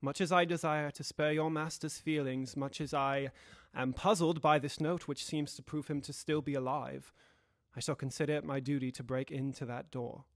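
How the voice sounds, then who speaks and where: A young man playing a grave, dutiful Victorian gentleman lawyer in an audio drama, with a formal and deliberate delivery. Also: fairly high pitch, steady tone, no echo, studio quality, close to an everyday voice. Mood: grave, determined. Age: young adult.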